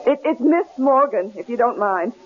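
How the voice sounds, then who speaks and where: An actress playing a headstrong, inquisitive wife who is easily shaken, in an emphatic 1970s radio drama style. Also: female voice, on the high side, animated, moderate pace, lightly acted. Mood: uneasy, defensive. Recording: dull, noisy old radio broadcast recording.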